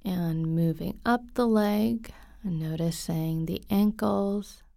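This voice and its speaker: A woman guiding a body scan meditation in a soft, calm, unhurried way, with frequent pauses and some breathiness. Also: lowish voice, gravelly, dry recording, clean studio sound. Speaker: guided meditation teacher.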